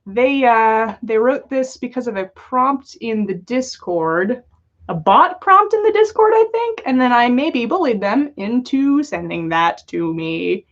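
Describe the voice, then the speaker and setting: A woman's voice: on the high side, big pitch swings, a little slow. A playful, giggly woman hosting a livestream, reading stories aloud and chatting casually. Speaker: podcast host reading listeners' stories aloud. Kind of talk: storytelling read-aloud with casual chat on a livestream. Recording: dull lo-fi livestream audio.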